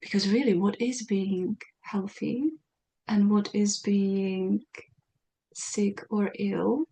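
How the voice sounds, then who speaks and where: A woman hosting a conversation, talking in an animated way and searching for the right words as she thinks aloud. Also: medium pitch, takes it slowly, poor audio. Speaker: podcast host. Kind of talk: casual conversation.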